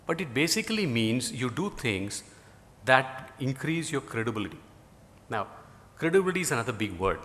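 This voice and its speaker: Middle-aged Indian man, native Tamil speaker; bright voice, rambling speech with dry humor; reverberant hall sound. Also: medium pitch. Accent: Indian English. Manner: rambling, many "you know" fillers, dry humor. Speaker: guest speaker. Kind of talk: conference talk.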